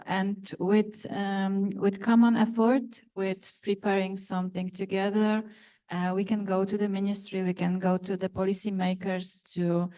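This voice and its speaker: A woman's voice: mid-range voice, dark tone. Hesitant woman panelist. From Poland. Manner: hesitant, many fillers and restarts. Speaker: panelist, university open science staff. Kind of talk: panel discussion answer. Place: conference hall.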